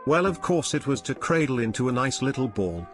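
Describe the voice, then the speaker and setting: A man's voice: mid-range voice, very animated, brisk, a bit bright. Man narrating stories over music. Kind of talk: scripted narration of online stories. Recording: music bed behind voice. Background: background music.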